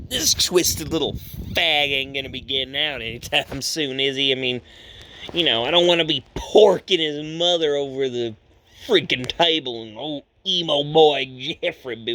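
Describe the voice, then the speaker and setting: Man acting a high-pitched, sleazy, jeering cop. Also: measured pace, pushed and bright, a little hiss or hum. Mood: jeering, crude. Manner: vulgar, taunting, rambling with chuckles. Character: crude, sleazy, jeering male police officer. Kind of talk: amateur horror story voice acting.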